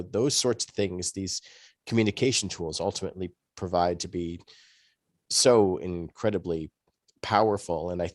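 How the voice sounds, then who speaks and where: A man's voice: low-pitched, darkish tone, no echo, clean studio sound. A man speaking as a podcast panelist, explaining things in a rambling, conversational way. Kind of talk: conversational discussion.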